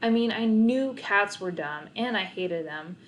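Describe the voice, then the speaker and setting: A woman reads a children's book aloud. Her voice sits at an average pitch, and she narrates expressively, in the manner of a storybook read-aloud. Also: lively intonation, unhurried, rough recording.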